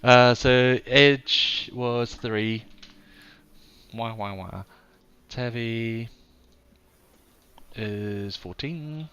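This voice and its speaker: A man's voice: medium pitch, very slow, pauses often, fairly bright tone, muffled recording, a little hiss or hum. A man with a New Zealand accent running a live tabletop game as game master, loose and playful, punchy when he narrates big hits.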